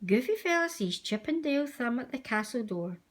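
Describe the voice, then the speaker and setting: A woman reading a children's storybook aloud as a storyteller, with expressive, deliberate delivery. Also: a little high. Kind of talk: scripted storybook narration for young children.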